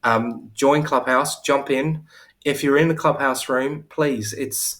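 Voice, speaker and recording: A man's voice: mid-range voice, fairly even intonation, slow, a bit bright. Chatty man on a video call. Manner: chatty, self-deprecating, many restarts. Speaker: hair coach and salon owner. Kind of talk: casual live interview chat. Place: at home.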